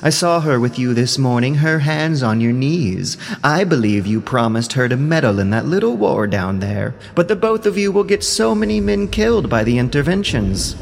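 A man's voice: medium pitch, lively intonation, moderate pace, vocal fry, few pauses, big-room sound, pitched above the performer's own voice. A man voicing a jealous, suspicious queen goddess who scolds her husband reproachfully in an audio drama.

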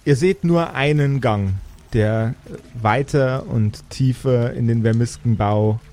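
A man runs a tabletop fantasy game as its narrator. His voice is mid-pitched and soft-edged, and he feels his way through improvised descriptions in measured phrases. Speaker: game master.

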